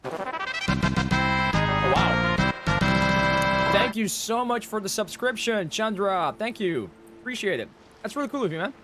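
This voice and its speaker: A man's voice: sits high, expressive, measured pace, pauses often. A man livestreaming a game, chatting casually as he plays. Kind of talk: casual chat while playing. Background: music.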